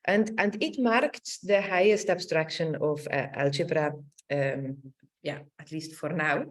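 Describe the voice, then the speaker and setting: A woman's voice: low-pitched, measured pace. A middle-aged woman gives an academic online talk, searching for words and repeating phrases as she goes. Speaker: academic lecturer.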